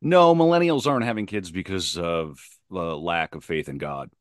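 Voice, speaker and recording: A man's voice: low voice, normal speed, dry recording, clean studio sound. A man talking casually as a podcast guest, opinionated and emphatic, often repeating himself to press a point.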